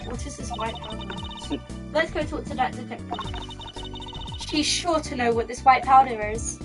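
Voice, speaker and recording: A perky teenage girl from a video game, played by a woman, high-pitched and cheeky. She talks teasingly, with music clearly playing underneath. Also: unhurried, lightly acted. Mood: curious. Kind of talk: video game character read-aloud.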